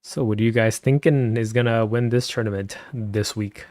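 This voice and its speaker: A man chatting casually with viewers on a live stream, speaking softly and keeping his voice down at night at home, and laughing often. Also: steady tone, normal speed, no echo, clean studio sound. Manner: soft-spoken, keeps voice low at night.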